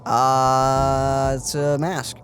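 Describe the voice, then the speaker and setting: A man's voice: mid-range voice, monotone, extremely slow, fairly bright tone, faint music behind. A man co-hosting a let's play with casual, offhand, wry remarks. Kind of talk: casual gaming commentary.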